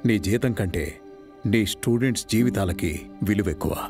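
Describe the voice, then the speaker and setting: Casting: male actor as wise uncle, middle-aged, lowish voice, calm and advising, film scene with loud music. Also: moderate pace, close to an everyday voice. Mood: calm, principled. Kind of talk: film drama dialogue.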